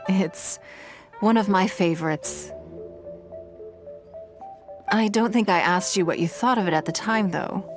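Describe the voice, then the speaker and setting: An actress playing a poised, wealthy lady of high standing in a video game, composed, gracious and gently teasing in a refined, measured way. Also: over music, natural voice. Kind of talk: video game character acting.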